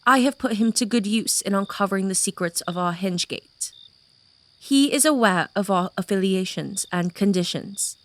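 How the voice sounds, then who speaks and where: A woman plays a composed noblewoman with uncanny gifts. She speaks with poised, polite authority at a middle pitch, like a figure in a fantasy radio play. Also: moderate pace, fairly bright tone, natural voice. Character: composed noblewoman with mind powers.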